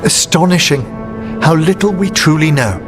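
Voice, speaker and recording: A man playing a courtly, scholarly gentleman necromancer in a fantasy game, speaking eloquently and formally with affection. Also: a little high, normal speed, music in the background, natural voice. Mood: awed.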